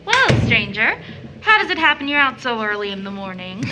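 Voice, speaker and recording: An actress playing a friendly, lively young woman in a stage-style audio drama. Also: a little high, normal speed, a little hiss or hum, poor audio.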